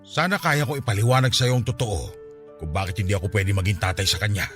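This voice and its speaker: A man with a Filipino accent acting in a radio drama as a gruff, troubled loner who is a reluctant foster father, halting and insistent. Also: on the low side, music in the background, natural voice.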